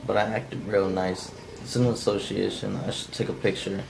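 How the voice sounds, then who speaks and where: A man's voice: low voice, flat delivery, moderate pace, gravelly. A non-actor man cold-reading the part of an edgy, blunt, folksy killer in a script table read. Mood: matter-of-fact confession. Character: edgy, cold-blooded man confessing to killing. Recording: faint background noise.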